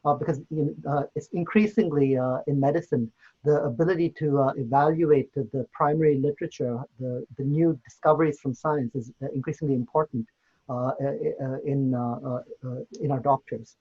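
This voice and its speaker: Hesitant man, husky muffled voice. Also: medium pitch, steady tone, a little fast, a little mellow. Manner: hesitant, many fillers and restarts. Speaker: medical tutor and researcher. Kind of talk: virtual open day presentation.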